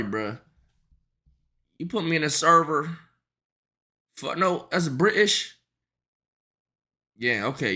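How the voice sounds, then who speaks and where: A man streaming a reaction to a video, talking loudly and profanely in slangy banter with his chat. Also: mid-range voice. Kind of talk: casual live-stream reaction.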